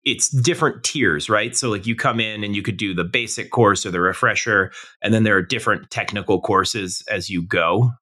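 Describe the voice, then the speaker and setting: Guy hosting a podcast, eager and upbeat, asks slow careful questions. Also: fairly low pitch, bright, forceful tone. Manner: enthusiastic, measured questions. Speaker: podcast host. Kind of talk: interview hosting.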